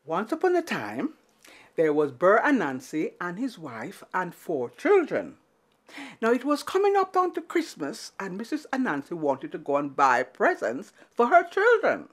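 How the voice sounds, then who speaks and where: A woman storyteller telling a tale to children in an animated, dramatic way, building through repetition and pauses. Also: fairly low pitch.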